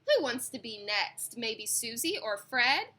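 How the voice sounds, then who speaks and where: A woman voicing a polite, gently firm female schoolteacher from a children's storybook. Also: fairly high pitch, sing-song, unhurried, full character voice. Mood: polite, inviting.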